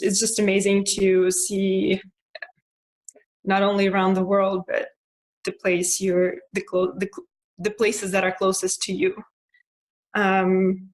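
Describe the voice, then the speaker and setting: A young woman from Russia with a Russian accent, talking casually about her life, with frequent fillers, restarts and soft laughs. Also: medium pitch, low-quality recording. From Russia (Moscow). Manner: hesitant, many fillers and restarts; laughs softly now and then. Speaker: interviewee. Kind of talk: casual personal monologue.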